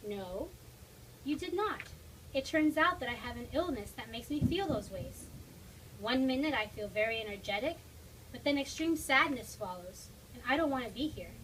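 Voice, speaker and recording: A woman voices a troubled teenage girl, gently reassuring a younger child in a storybook drama. The recording is distant, with faint noise around the voice. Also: a little high, animated, moderate pace. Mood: gentle, sad. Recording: distant mic, noisy room.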